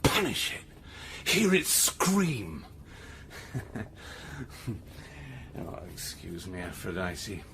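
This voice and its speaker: An actor playing a drunken, taunting scientist on a space station in an audio drama, rambling theatrically with slurred speech. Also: male voice, sits low, very animated, very slow, pauses often, fairly bright tone, full character voice. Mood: drunken, mocking.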